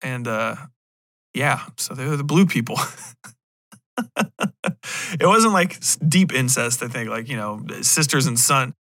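A man's voice: mid-range voice, pushed and bright, no echo. An American man hosting a casual solo podcast, rambling through a review in a blunt, irreverent, profane way. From United States. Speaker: podcast host.

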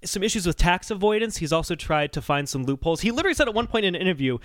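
A man hosting a podcast in casual, comedic discussion with animated, exasperated emphasis. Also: high-pitched, racing, no echo, studio quality. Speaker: podcast host.

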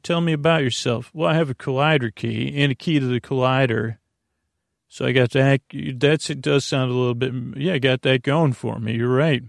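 Male bedtime podcast host, heavy vocal fry, halting meandering delivery, frequent tangents, dry studio-like sound. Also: medium pitch, dry recording. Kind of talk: rambling improvised bedtime podcast monologue.

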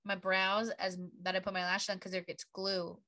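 A young woman with a raspy voice, co-hosting a podcast in giggly casual chat. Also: mid-range voice, level tone, normal speed, pushed and bright, dry recording. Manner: chatty, giggly. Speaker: podcast co-host.

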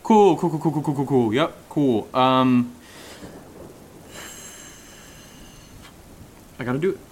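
A man's voice: medium pitch, a little fast, slight background noise, natural voice. An actor playing an anxious young man who stammers and mutters to himself in an audio drama. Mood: anxious self-talk. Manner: jittery muttering, stammering, self-talk. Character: anxious, rambling young man nervously searching alone.

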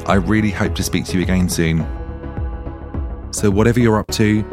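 Brief: man hosting a podcast, low voice, gushing and chatty. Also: normal speed, music in the background. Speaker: podcast host. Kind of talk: podcast intro and interview.

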